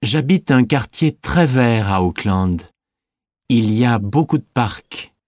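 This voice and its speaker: An actor playing a young sporty French man answering scripted interview questions with clear enunciation. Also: mid-range voice, expressive, takes it slowly, darkish tone, dry recording, clean studio sound. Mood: friendly, measured. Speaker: interviewee in a language listening exam.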